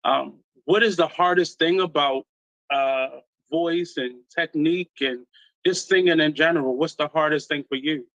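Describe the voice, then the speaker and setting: A man's voice: on the high side, moderate pace, a bit bright, dry recording, dull audio. A man hosting a radio interview, affirming and asking questions. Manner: affirming, frequent "mm-hmm" backchannels. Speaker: interview host.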